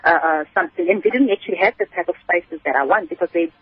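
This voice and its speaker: A woman's voice: on the low side, quick pace, breathy edge, no room sound. A South African woman talking conversationally as an interview guest, explaining things at length in a storytelling way over a narrow phone line. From Kimberley, South Africa. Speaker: guest, spice business entrepreneur. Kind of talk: conversational radio interview answers.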